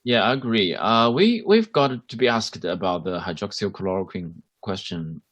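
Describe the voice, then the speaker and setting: Man, Chinese accent, hospital doctor, panel discussion, hesitant, many fillers, very deep voice, dry sound. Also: lively intonation, normal speed, a bit bright, no echo. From China.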